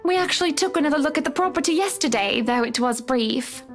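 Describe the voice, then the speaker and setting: A woman's voice: sits high, lively intonation, fast talker, soft background music. A woman playing a chatty, self-assured wealthy wife in a dramatic visual novel, with a breezy, assertive manner. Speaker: game character.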